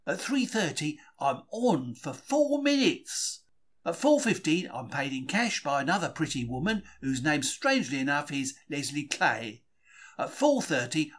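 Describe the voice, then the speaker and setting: A man's voice: on the high side, a bit bright. A man reading a diary as a quaint, chatty, plain-spoken 1940s Cockney bookseller. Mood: chirpy, pleased. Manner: colloquial, self-regarding, plain-spoken, exclamatory. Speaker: reader of a diary. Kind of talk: dramatised first-person diary reading.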